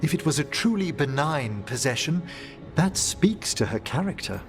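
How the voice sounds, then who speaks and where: A man's voice: a little high, big pitch swings, moderate pace, faint music behind. A man playing an inquisitive, scholarly mage in a fantasy game, articulate and analytical. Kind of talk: naturalistic game dialogue.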